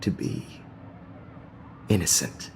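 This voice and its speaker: A middle-aged American man acting a suave, encouraging photography teacher in a video game, smooth-talking with some hesitation. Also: slow, slight background noise, lightly acted. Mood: pleased, encouraging.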